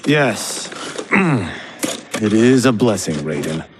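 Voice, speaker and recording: A restless young farmer, lively pitch. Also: male voice, slow. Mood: wry, discontented. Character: restless, dreamy young farmer longing for adventure. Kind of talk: video game cutscene acting. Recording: faint background noise.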